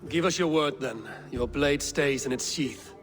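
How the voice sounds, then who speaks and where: An actor playing a stoic, commanding Viking leader in a video game, grave and measured, with some weariness. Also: male voice, a little high, fairly bright tone, faint music behind. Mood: firm, advising. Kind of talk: dramatic video game acting.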